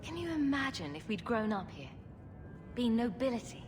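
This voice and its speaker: An actress playing a wry, protective young rogue, an older sister in a fantasy video game. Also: female voice, fairly high pitch, big pitch swings, normal speed, music in the background. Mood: wry, concerned.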